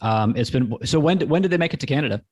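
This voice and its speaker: A man hosting a podcast, reading a measured intro and then chatting with a guest in a slow, measured way. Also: medium pitch, animated, darkish tone, no room sound, clean studio sound. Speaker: podcast host. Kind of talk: scripted intro, then casual interview.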